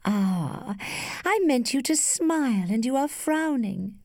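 Woman doing a worldly teasing lady from an old novel, archly playful, mellow, very up and down. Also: a little high, expressive, dry recording, studio quality, pitched above the performer's own voice. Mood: gently persuasive. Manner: studied, measured, archly playful.

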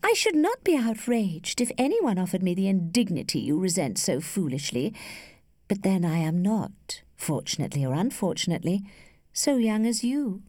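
A woman voicing a worldly, flirtatious lady who teases with cool indulgence in a measured, studied way, as in a storybook. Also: medium pitch, very animated, dark tone, no echo, studio quality. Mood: gently persuasive. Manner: studied, measured, archly playful.

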